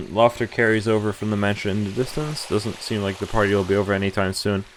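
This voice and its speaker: A man's voice: on the low side, fairly even intonation, fast talker, a little hiss or hum, rough recording. A young man streaming a visual novel, reading its text aloud with casual, amused commentary over game music. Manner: casual, sweary, amused reactions.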